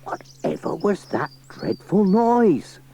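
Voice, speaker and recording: Good-natured, blunt countryside farmer, acted by a man, pitched up and swooping, emphatic, on muffled cassette. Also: very animated, takes it slowly, vocal fry, warm tone, full character voice. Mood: grumbling. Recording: muffled, hissy cassette transfer.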